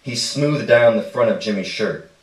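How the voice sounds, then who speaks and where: Low-voiced man reading, echoey and distant. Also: animated, moderate pace. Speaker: story reader. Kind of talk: live literary short story reading. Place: indoor live stage reading. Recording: echoey room, distant microphone.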